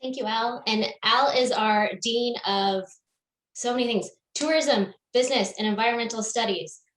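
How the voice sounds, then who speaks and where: A higher-pitched woman acting as session organizer, light and chatty in her asides. Her voice comes through with a roomy echo around it. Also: moderate pace, a little hiss or hum. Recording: roomy echo on call audio.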